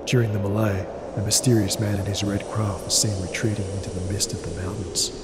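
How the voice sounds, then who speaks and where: A man reading scripted cinematic sci-fi narration in a solemn, measured manner. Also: on the low side, flat delivery, roomy sound, big-room sound, heavy background noise.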